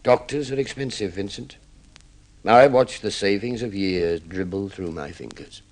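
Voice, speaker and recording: An actor playing a proud, impoverished middle-aged husband putting on a brave front in an old-time radio drama, wry and earnest. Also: male voice, on the low side, a little slow, a little mellow. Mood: weary, grave.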